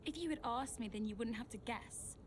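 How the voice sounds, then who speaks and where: An actress playing a headstrong, guarded young racing driver, talking bluntly in naturalistic game dialogue. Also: female voice, fairly high pitch, fairly quick, slight background noise, close to an everyday voice. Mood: frustrated. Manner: blunt, challenging. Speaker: actor in a game cutscene.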